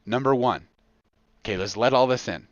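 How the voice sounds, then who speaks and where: An American man hosting a casual comedic podcast, talking in an excitable, profane way with punchy repetition. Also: mid-range voice, normal speed, dry recording, dull audio. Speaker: podcast host.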